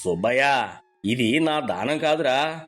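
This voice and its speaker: A kindly village elder acted by a man speaks with affection and gives earnest counsel. His voice is mid-pitched, in a storybook drama with music behind it. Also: lively intonation, slow, a bit bright. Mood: earnest, advising. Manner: affectionate, advising.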